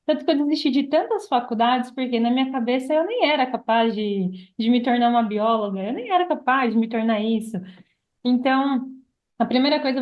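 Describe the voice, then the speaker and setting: A Brazilian woman with a very high-pitched voice speaks as a podcast guest. She gives earnest, conversational advice and keeps checking in with little tag questions. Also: darkish tone.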